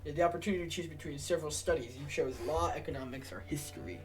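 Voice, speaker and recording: A man's voice: fairly high pitch, racing, music in the background. A man reading a video game's narration aloud to friends in an informal way, with casual asides. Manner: informal, swearing, joking asides. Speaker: host reading the game aloud.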